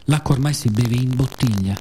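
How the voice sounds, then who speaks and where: A man performing an expressive, playful theatrical monologue for radio theatre. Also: mid-range voice, normal speed, soft background music. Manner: expressive, with playful spoken sound effects.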